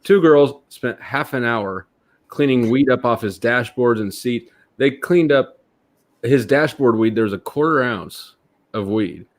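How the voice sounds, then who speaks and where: A man's voice: mid-range voice, a little slow. A man co-hosting a casual livestream, blunt and teasing, with plenty of swearing. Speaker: livestream co-host.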